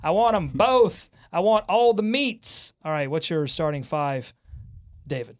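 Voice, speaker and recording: A man with a fairly high-pitched voice hosts a sports talk show. He is excitable and jokey, keeping up lively banter with his co-hosts. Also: measured pace.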